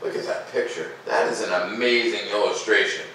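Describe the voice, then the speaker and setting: Echoey, bright-voiced man reading aloud. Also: lowish voice, brisk. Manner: informal, expressive when reading. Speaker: host of a children's read-aloud. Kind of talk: picture-book read-aloud with casual asides. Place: living room by a fireplace, indoors. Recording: echoey room, distant mic, faint noise.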